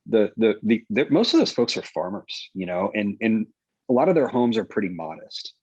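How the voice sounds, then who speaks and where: A man giving a casual, halting account as a panel guest, thinking aloud. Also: on the low side, very animated, darkish tone, slightly rough, dry recording, dull audio. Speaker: panel guest, relief worker.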